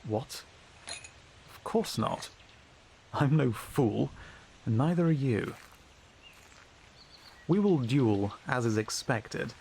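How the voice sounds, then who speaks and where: A man's voice: mid-range voice, expressive, takes it slowly, vocal fry, long pauses, a little mellow, a little hiss or hum. A man playing a smug, scheming, haughty knight in a fantasy audio roleplay, with a pompous, mocking drawl. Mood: smug menace.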